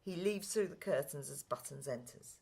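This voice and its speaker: A woman's voice: low voice, lively intonation, moderate pace, creaky, warm tone, slightly rough. A woman reading scripted stage directions as the narrator of a radio play.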